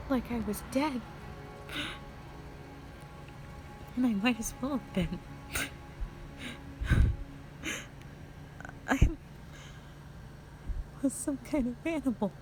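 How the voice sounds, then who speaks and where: Girl voice actor doing anguished traumatised young woman, audio roleplay, slow hushed halting delivery, music under it. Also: high voice, expressive, poor audio. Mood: anguished, distraught. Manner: halting, hushed, breaking into whispers. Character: traumatised young woman confessing a harrowing past, anguished, broken. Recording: music behind.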